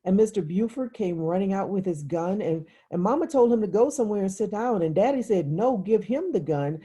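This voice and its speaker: A woman plays an upset young girl in an audiobook story, confiding in plain childlike words. The voice is soft and very mellow with a husky edge, reluctant and easily exasperated. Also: medium pitch, animated, a little fast, pitched above the performer's own voice. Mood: agitated recounting. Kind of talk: literary audiobook dialogue.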